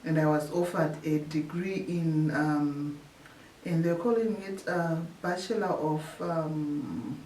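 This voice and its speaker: A woman from Zimbabwe, a researcher telling her story in an interview, often pausing and restarting her phrases. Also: sits low, dark tone. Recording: echoey room, distant mic, faint hiss.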